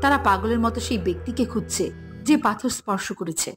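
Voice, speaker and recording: A woman's voice: fairly high pitch, animated, brisk, over music. A woman narrating a scripted fairy tale for a cartoon.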